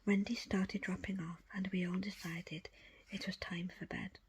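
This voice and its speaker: A woman reading a book aloud as ASMR in a breathy, unvoiced whisper, with hushed, deliberate phrasing in short pieces. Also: medium pitch, little pitch movement. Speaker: audiobook reader.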